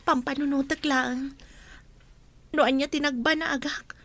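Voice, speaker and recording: An actress playing a gentle, troubled young runaway woman in an Ilocano radio drama. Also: high voice, steady tone, a little slow. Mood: sad, pensive.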